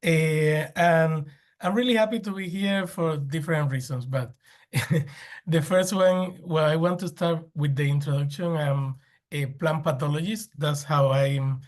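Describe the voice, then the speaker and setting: A man's voice: fairly high pitch, measured pace. A man from Cuba, presenting as a plant pathologist panelist in a webinar, with many restarts and fillers.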